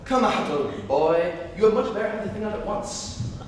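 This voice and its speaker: Young man playing a flippant high-voiced gentleman, echoey hall. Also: animated, normal speed. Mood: amused, insistent. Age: teenager. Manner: arch, theatrical, teasing. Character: flippant, teasing young Victorian gentleman, idle and witty. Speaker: student actor. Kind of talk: dramatic stage reading of a comedy. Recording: distant, very echoey hall, noisy.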